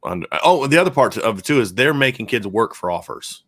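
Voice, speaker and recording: A man with a sore, hoarse throat co-hosting a live football podcast, chatting through viewer questions and often restarting his sentences as he thinks aloud. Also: lively intonation, fast talker, no echo, clean studio sound. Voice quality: deep voice. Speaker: podcast co-host and football analyst. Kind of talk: casual live-stream chat answering viewer questions.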